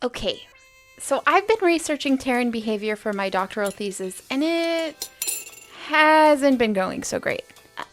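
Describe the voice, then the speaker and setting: High, bright, flustered young alien. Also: female voice. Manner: hesitant, rambling, apologetic. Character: young alien doctoral student, flustered and over-eager. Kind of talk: audio drama comedy dialogue.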